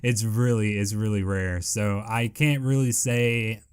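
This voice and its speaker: A young man with a deep voice answering viewer questions in a casual, rambling way, full of fillers. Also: fairly even intonation, unhurried, clear, resonant tone. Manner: rambling, heavy with fillers and "I guess you could say". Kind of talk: casual Q&A chat.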